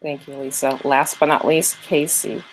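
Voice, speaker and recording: A woman's voice: low-pitched, level tone, moderate pace, a little hiss or hum, low-quality recording. A woman moderating a virtual panel on a video call, friendly, with many fillers.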